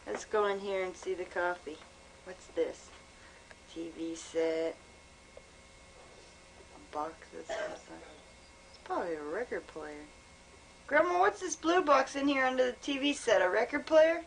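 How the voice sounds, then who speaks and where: A woman's voice: medium pitch, expressive, measured pace, pauses often. Young female, casual and teasing interviewer, mid-range voice, amateur camcorder sound with faint noise. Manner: playful, coaxing, giggly. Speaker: granddaughter filming and asking questions. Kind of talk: casual chat. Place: small home, indoors. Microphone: handheld camcorder, close behind camera. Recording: noisy amateur home video.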